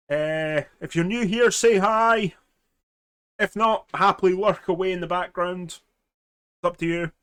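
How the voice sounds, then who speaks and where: A man with a slightly hoarse voice, hosting a casual, rambling hobby livestream in an informal way. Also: fairly high pitch, a bit bright. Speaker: live stream host.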